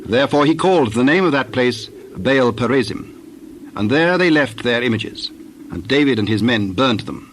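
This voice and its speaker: A man narrating scripture from a script in a measured, formal manner. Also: medium pitch, expressive, slight background noise.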